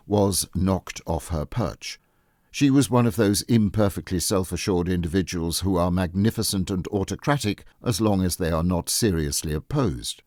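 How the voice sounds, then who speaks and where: Deep male audiobook narrator, formal storytelling, measured delivery, really dry sound. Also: darkish tone, no room sound. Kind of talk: scripted literary narration.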